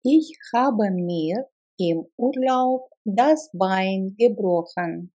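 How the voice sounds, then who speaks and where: A woman teaching phrases, saying each one slowly and deliberately and repeating it for learners. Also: medium pitch, expressive, warm tone. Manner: slow, deliberate, clearly spaced repetitions. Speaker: language teacher. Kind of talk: slow repeated phrase drills. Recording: slightly dull lo-fi sound.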